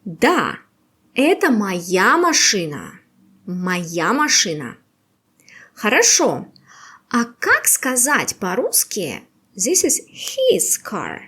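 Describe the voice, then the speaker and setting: A woman teaching a beginner language quiz, with patient, slow and carefully exaggerated pronunciation. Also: mid-range voice, wildly swooping pitch, sharp tone, slightly rough.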